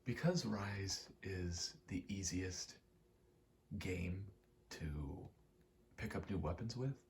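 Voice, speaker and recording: A man giving a rambling ASMR gaming commentary, halting and meandering as he thinks aloud while playing. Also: low voice, animated, a little hiss or hum. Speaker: gaming video creator.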